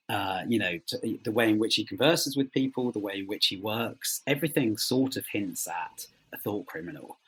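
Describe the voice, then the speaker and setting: A man being interviewed as a writer, speaking reflectively and haltingly as he searches for words. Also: lowish voice, sharp tone, husky, low-quality recording.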